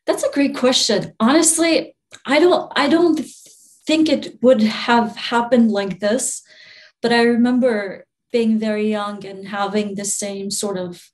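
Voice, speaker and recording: A young woman from Albania, a spiritual channeler, talking in a relaxed interview, soft-spoken with frequent laughs and restarts. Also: on the high side, unhurried, poor audio.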